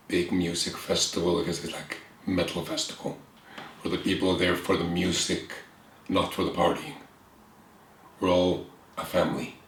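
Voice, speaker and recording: A man in his thirties with an Icelandic accent, speaking as an interview guest in an earnest, reflective way, in short phrases with pauses. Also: low-pitched, a bit bright. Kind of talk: candid conversation. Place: room with bookshelves and a window, at a table. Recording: echoey room with faint hiss.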